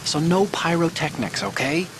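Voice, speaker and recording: An actor playing a young, fussy male computer hacker who talks quickly and a bit nervously while guiding a soldier. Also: on the high side, noisy background, close to an everyday voice. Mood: urging. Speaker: mission support. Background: music and rain.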